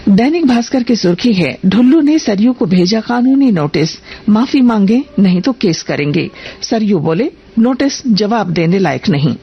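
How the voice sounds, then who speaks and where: A woman reading a scripted radio news bulletin in a formal, measured manner. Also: medium pitch, breathy edge, highs cut off.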